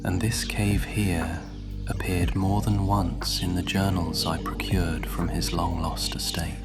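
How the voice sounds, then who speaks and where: An actor playing a scheming, cultured, centuries-old lord in a fantasy audio roleplay, measured and condescending, often slipping into a breathy whisper. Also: male voice, low voice, little pitch movement. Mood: calm, musing. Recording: music behind the voice.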